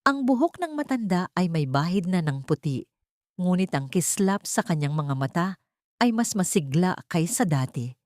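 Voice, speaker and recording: A woman's voice: on the low side, sing-song, normal speed, darkish tone, no echo, clean studio sound. A woman narrating a scripted dramatic story in a formal, sentimental storytelling manner. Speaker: audiobook narrator.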